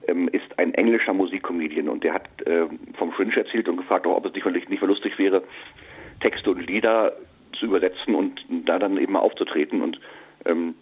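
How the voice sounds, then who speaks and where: A comedian being interviewed, answering casually with many fillers and restarts. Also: male voice, sits low, fairly quick, slightly rough, highs cut off. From northern Germany. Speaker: comedian and musician. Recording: radio broadcast.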